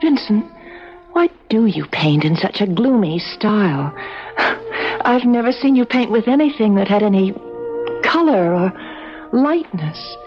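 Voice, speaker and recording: An actress playing a gentle, hesitant, anxious young woman in a radio mystery drama. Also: medium pitch, lively intonation, unhurried, pauses often, natural voice. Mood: gentle, concerned. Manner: hesitant, pleading. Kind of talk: 1970s radio mystery acting. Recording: muffled old radio recording with music.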